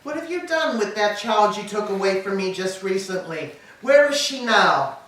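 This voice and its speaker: A woman's voice: mid-range voice, animated, normal speed, a bit bright, lightly acted. A woman playing a grieving, proud old enslaved queen, declaiming laments in a staged reading of Greek tragedy. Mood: anxious questioning. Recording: distant, echoey hall with background noise.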